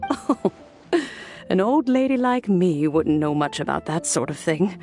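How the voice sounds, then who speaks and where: An actress playing a kindly, teasing elderly woman who is a respected town elder, speaking in a soft, motherly way. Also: lowish voice, sing-song, unhurried. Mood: gentle, fond. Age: older. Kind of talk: game cutscene voice acting. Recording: game audio with soft background music.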